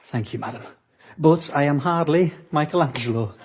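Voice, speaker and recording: An actor playing a deferential young Victorian stonemason in a period audio drama, humble and respectful. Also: male voice, on the high side, normal speed, a little hiss or hum, lightly acted. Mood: modest, polite.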